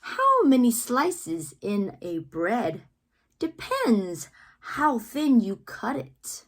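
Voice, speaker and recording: A woman reading children's poetry aloud with theatrical, deliberate phrasing and playful emphasis, adding asides as the reader. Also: fairly high pitch, big pitch swings. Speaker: read-aloud host, teacher-like reader.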